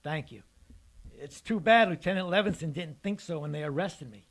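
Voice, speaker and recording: Man playing high-voiced, unhinged, courteous bomber. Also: normal speed. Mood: smug, cold. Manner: courteous, menacing, precise. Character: calm, polite, unhinged male escaped convict bomber. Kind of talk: radio detective drama acting.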